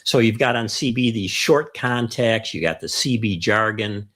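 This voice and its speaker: A man's voice: fairly low pitch, normal speed, a bit bright. An older man with an American accent, chatting through an explainer as host and stressing key phrases. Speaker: host of a ham radio show.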